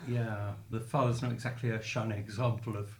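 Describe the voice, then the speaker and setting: A man's voice: on the low side, flat delivery, fairly quick. A man conducting a literary interview, phrasing his questions in a hesitant, thoughtful way. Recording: echoey room, faint background noise.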